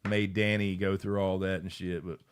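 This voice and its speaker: A man's voice: deep voice, flat delivery, moderate pace, clear, resonant tone. A man hosting a casual livestream after-show, rambling, swearing and laughing as he reads out viewer comments. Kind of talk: casual live chat, reading viewer comments.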